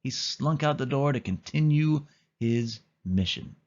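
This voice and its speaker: A man's voice: medium pitch, expressive, measured pace, darkish tone, highs cut off. A man narrating a children's storybook as a scripted read-aloud.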